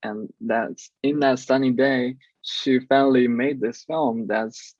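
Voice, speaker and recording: A man, a film producer, speaking halting, non-native English in a casual video interview. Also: medium pitch, fairly even intonation, a little mellow, no echo, lo-fi sound.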